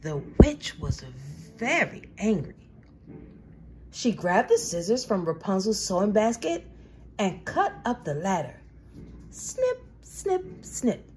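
A woman storyteller reading a children's storybook aloud with dramatic, deliberate phrasing. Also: mid-range voice, big pitch swings, darkish tone.

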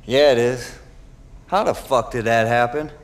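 An actor playing a bitter, cynical outlaw biker in a video game cutscene, blunt, caustic and foul-mouthed. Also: male voice, mid-range voice, measured pace, close to an everyday voice.

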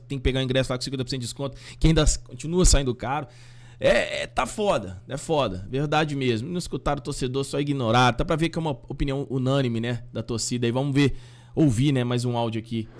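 Slangy Brazilian fan channel host. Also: male voice, medium pitch, quick pace. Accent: Brazilian Portuguese. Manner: slangy, animated, talks to listeners directly. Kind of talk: casual commentary on fan voice messages. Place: small home studio with fan decor. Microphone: studio mic on boom arm.

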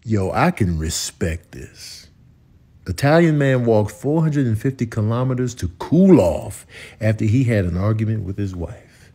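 A man's voice: lowish voice, expressive, a little slow, warm tone, breathy edge. A man giving comic commentary in an emphatic, colourful, slangy way. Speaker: comedian commentator.

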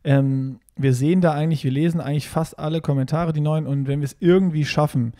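A man's voice: on the high side, steady tone, fast talker, dark tone. A man co-hosting a casual podcast, teasing his partner and cutting in with hesitant restarts. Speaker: podcast co-host.

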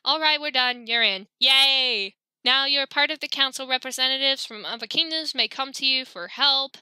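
A woman voicing a brisk female royal advisor in a video game, reading her lines in a hurried, dismissive way. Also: high voice, bright, forceful tone, clean tone, dry recording.

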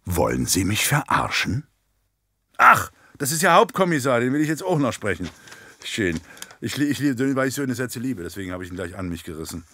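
A man's voice: mid-range voice, sing-song, fairly quick, sharp tone, no echo. A man acting lines for an audio drama in outtakes, breaking off with joking asides.

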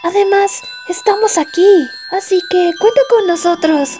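A woman voicing a gentle, protective young male skeleton guardian, speaking earnestly and resolutely in a dramatic comic dub. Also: extremely high pitch, a little slow, soft background music. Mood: reassuring. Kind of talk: dramatic fan-dub comic acting in Spanish.

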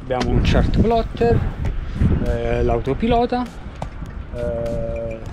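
A man's voice: medium pitch, big pitch swings, takes it slowly, over music. A middle-aged man giving a casual tour of his sailboat, hesitant, with many fillers and restarts, filmed outdoors in a harbor on a handheld camera. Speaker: sailor giving a boat tour. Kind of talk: casual walkthrough vlog. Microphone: handheld action camera at arm's length.

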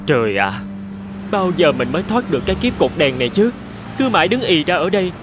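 A man playing a gloomy, self-pitying traffic light that longs for freedom, complaining plaintively in an animated fairy tale. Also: a little high, a little fast. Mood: wistful, self-pitying. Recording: music under the voice.

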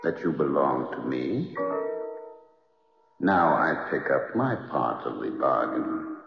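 An actor playing a calm, sinister otherworldly bargainer with a mocking, deliberate manner in a radio drama. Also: male voice, extremely low pitch, vocal fry, music in the background, exaggerated voice. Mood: smug menace. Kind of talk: 1970s radio drama acting. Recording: echoing long reverb, dull old radio recording.

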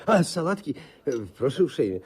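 An actor in a 1950s Polish radio comedy playing a naive husband in awe of an old schoolmate, gushing, stammering and repeating himself. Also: male voice, on the high side, big pitch swings, a little fast, breathy edge. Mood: eager, embarrassed. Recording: slightly muffled 1950s radio play recording.

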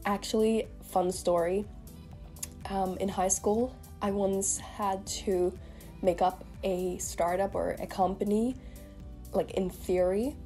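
A woman's voice: medium pitch, dark tone. A young woman in her twenties, a beauty vlogger chatting casually to the camera while a little sick with a cold, rambling with lots of fillers. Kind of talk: casual makeup review to camera. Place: small room indoors.

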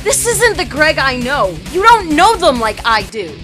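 A woman's voice: high-pitched, sing-song, measured pace, bright, forceful tone, soft background music, heavily acted. A woman playing a cynical, emotional teenage schoolgirl in an amateur online radio drama. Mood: angry, hurt. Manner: emotional, indignant, emphatic. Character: cynical, defiant teenage schoolgirl, secretly a model.

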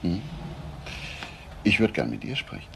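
An actor playing a patient, probing police inspector in a German radio crime drama, measured and polite. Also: male voice, sits low, very animated, vocal fry, background noise, full character voice. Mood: polite, firm.

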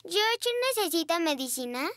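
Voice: extremely high pitch, animated, normal speed, sharp tone, no room sound, clean studio sound, full character voice. A performer voicing a cheerful, inquisitive little girl pig in a children's cartoon.

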